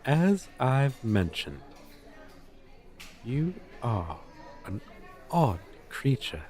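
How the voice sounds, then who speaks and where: A man's voice: mid-range voice, big pitch swings, extremely slow, darkish tone. A man voicing a proud, centuries-old dragon teacher with stilted, archaic, formal diction in an ASMR roleplay. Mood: gently amused.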